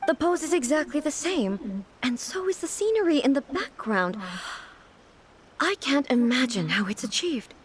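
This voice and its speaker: A woman playing a dignified, earnest, naively puzzled ruler in a video game, speaking in a formal, deliberate way. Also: high voice, very animated. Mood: astonished. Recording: music playing behind the voice.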